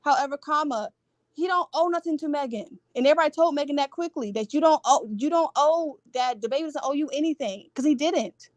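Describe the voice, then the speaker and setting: A woman's voice: sits high, fairly quick, no room sound. A woman in a casual, opinionated gossip chat, speaking with a stutter and driving her slangy points home emphatically. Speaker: gossip show panelist.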